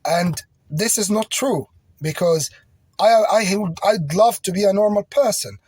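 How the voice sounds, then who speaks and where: French-native man, higher-pitched and husky, rambling and agitated personal appeal. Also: darkish tone, dry recording.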